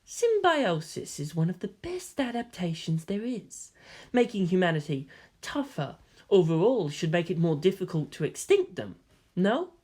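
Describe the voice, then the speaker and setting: A silky young male schemer, acted out by a story reader speaks softly and with condescension. His pitch swoops as he lectures, sounding smug and faintly inhuman in a dramatic fiction reading. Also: very animated, normal speed. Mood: smug persuasion.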